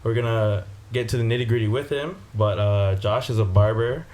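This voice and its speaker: A man's voice: fairly low pitch, fairly even intonation, moderate pace, darkish tone. A man co-hosting a podcast, leading a casual chat in an easygoing, filler-heavy way. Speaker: podcast co-host.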